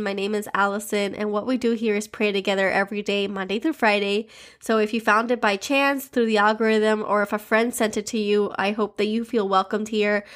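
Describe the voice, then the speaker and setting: A woman from Miami, sounding stuffy from a cold, hosting a daily devotional podcast and leading listeners in prayer with an earnest, heartfelt manner. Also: mid-range voice. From Miami, Florida.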